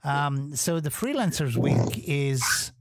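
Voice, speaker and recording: A man's voice: fairly high pitch, lively intonation, slow, noisy background. A man from Serbia co-hosting a podcast, talking in a hesitant, cautious way full of fillers. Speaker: podcast co-host. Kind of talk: casual podcast chat.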